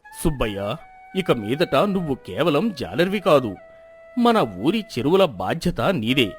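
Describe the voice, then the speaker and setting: A man's voice: on the high side, sing-song, moderate pace, soft background music. A man voicing a proud village landlord turned humble and grateful, solemn and earnest, in a moral story. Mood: humbled, grateful. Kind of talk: Telugu moral story dubbing.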